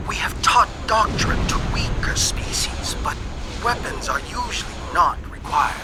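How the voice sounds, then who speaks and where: A man playing a reptilian alien scientist in a video game, speaking in a formal, measured, contemplative way. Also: sits high, animated, very noisy. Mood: grave, wary. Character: reptilian alien scientist secretly sharing questioning doubts. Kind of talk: scripted game cutscene monologue. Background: music.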